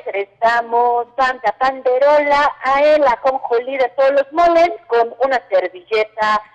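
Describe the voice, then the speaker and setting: A woman's voice: sits high, unhurried, muffled recording, faint music behind. Woman, radio host, chatty, filler-heavy, studio. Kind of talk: community radio interview.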